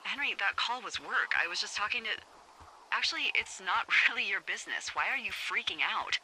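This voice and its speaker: An actress playing a wry, teasing fire lookout boss in a video game, talking conversationally over a walkie-talkie. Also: female voice, mid-range voice, expressive, brisk, bright, forceful tone, slight background noise, poor audio. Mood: evasive. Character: adult woman fire lookout, wry, teasing, candid, bossy. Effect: walkie-talkie radio. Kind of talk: naturalistic video game dialogue.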